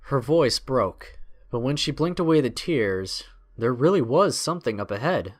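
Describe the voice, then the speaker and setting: A man narrating a scripted adventure story in a measured, expressive, dramatic storytelling style. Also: medium pitch.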